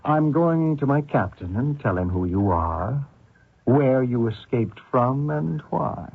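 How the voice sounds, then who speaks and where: An actor playing a vengeful Canadian man hunting a fugitive, deliberate and taunting in an old radio drama. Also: medium pitch, expressive, vocal fry, breathy edge, no room sound, full character voice. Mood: accusing. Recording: muffled thin old radio recording.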